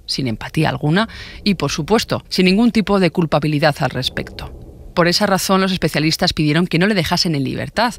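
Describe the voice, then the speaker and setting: A man narrating a scripted true-crime podcast in a measured, formal storytelling manner. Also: on the high side, a bit bright.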